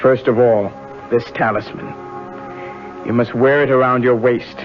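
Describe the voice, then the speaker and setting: An actor playing a mysterious, controlled healer in a radio drama, deliberate and commanding. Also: male voice, medium pitch, fairly even intonation, soft background music, natural voice. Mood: instructing. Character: mysterious, controlled male healer dealing in talismans. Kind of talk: 1970s radio drama acting. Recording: dull muffled vintage radio broadcast.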